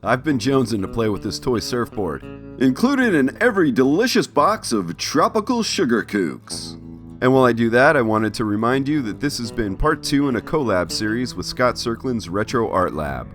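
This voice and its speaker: A man's voice: mid-range voice, very animated, normal speed, faint music behind. A middle-aged man hosting an art vlog with scripted narration. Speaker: host, artist.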